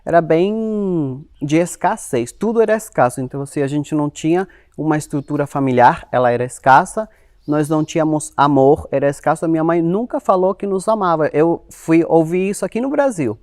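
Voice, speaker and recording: Lively Uruguayan man with a clipped dry sound. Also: on the high side, fairly quick, no room sound. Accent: Uruguayan Spanish. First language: Spanish. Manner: animated, expressive, quotes himself in lively voices. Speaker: guest. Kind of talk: casual interview storytelling.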